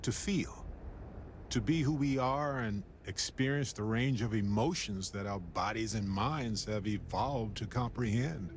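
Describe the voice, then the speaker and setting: Low-voiced, reflective space explorer, played by a man. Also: slight background noise, close to an everyday voice. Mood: earnest. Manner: sincere, measured, wistful. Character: warm, reflective middle-aged male space explorer. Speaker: video game character actor. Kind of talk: dramatic video game dialogue.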